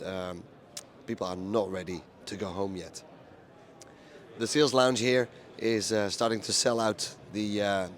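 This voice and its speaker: A man with a low, hoarse voice talks through a conference recap for his video channel. He speaks plainly and steadily, with frequent ums and uhs. The rasp from a lost voice stays through every line. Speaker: event reporter recapping a conference. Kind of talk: casual spoken recap to camera.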